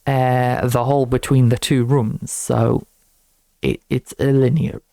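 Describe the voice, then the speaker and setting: Young man, student developer type, relaxed and fry-heavy, talking viewers casually through a project, unscripted. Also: mid-range voice, takes it slowly, dry recording. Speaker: student game developer presenting own project. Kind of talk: casual unscripted tutorial walkthrough.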